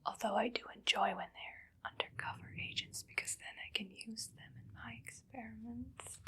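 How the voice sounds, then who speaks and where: A woman playing a menacing, irritable evil scientist in an ASMR roleplay, whispering bossy orders and deadpan threats with a breathy, creaky edge. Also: medium pitch. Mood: sinister relish.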